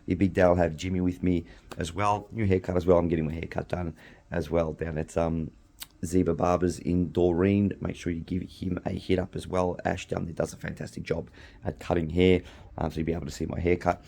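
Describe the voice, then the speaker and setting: A middle-aged Australian man hosting a podcast alone, slightly hoarse from losing his voice, rambling casually with frequent ums and restarts. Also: sits low, flat delivery, brisk, dark tone. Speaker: podcast host. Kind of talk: casual solo chat.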